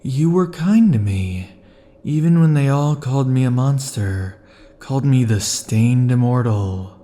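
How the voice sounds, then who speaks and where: A man voicing an obsessive, possessive male immortal in an ASMR roleplay, talking close to the mic in a slow, intimate, brooding way. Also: medium pitch, animated, mellow, natural voice. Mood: mocking. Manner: slow, intimate, brooding delivery with sudden furious outbursts.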